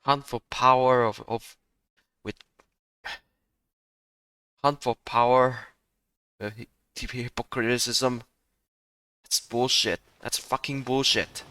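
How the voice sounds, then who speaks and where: A man giving casual, off-the-cuff gaming commentary in a halting, blunt, sweary manner. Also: mid-range voice, fairly even intonation, sharp tone, clear, resonant tone, no room sound. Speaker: gameplay commentator.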